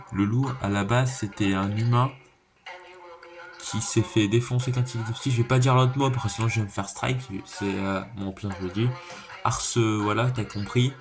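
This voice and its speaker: A man giving a casual, slangy reaction commentary, thinking aloud and repeating himself. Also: on the low side. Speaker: reaction video creator. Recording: clearly noisy background.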